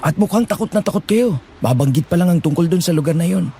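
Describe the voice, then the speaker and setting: A man's voice: a little high, lively intonation, fast, warm tone, a little hiss or hum. A man playing a curious, uneasy young friend in a dramatized horror story, polite but blunt and inquisitive. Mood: nervously curious.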